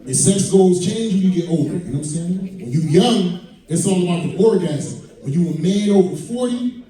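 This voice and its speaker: A man's voice: high voice, expressive, normal speed, dark tone. A man in his mid-forties performing stand-up comedy to an audience, with profane, conversational storytelling. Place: indoor comedy venue. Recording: very echoey live room, distant mic.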